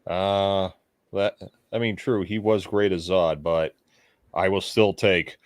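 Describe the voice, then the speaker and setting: A man's voice: deep voice, steady tone, slow pace, no room sound, studio quality. A man co-hosting a podcast in casual chat, joking and laughing often. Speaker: podcast co-host.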